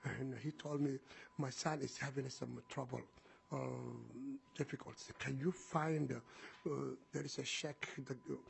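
A middle-aged man with a Somali accent giving public testimony in deliberate, hesitant phrases. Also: mid-range voice, vocal fry, slight background noise. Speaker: program manager giving testimony. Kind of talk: public testimony, spoken semi-freely.

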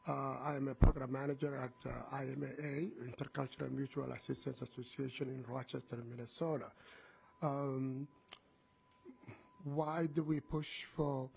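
A middle-aged man with a Somali accent giving public testimony in deliberate, hesitant phrases. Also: mid-range voice, creaky, darkish tone, breathy edge. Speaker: program manager giving testimony. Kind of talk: public testimony, spoken semi-freely.

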